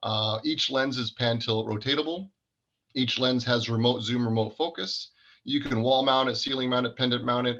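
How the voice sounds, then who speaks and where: A man's voice: on the low side, creaky, a bit bright, highs cut off. A man giving an informal product presentation in an explanatory, technical manner.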